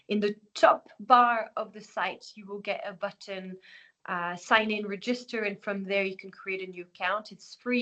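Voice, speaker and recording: A woman's voice: mid-range voice, a bit bright. A woman hosting an online webinar, walking through a website with many fillers and restarts. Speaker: network host presenting the platform.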